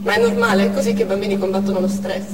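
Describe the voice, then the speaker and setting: A woman's voice: mid-range voice, flat delivery, very fast, lightly acted. An actress playing a brave, anxious woman doctor and mother in a dramatic stage monologue. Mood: tense, tender. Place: on stage in a theatre. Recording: echoey theatre hall from far off, noisy.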